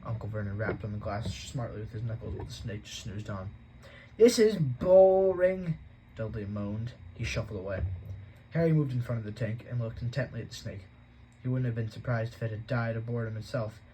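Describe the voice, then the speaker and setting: A man reading a novel aloud at a steady pace, with an occasional stumble he corrects. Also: lowish voice, fairly quick, a little hiss or hum, poor audio. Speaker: audiobook reader.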